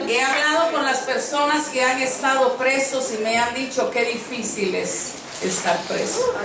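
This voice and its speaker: A woman with a high voice preaches an earnest sermon in a church, driving her points home by repeating phrases. She is picked up from some distance, so her voice rings around a very reverberant hall. A faint hum of room ambience sits underneath. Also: a little slow, a crowd in the background. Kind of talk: sermon with personal storytelling. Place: church sanctuary.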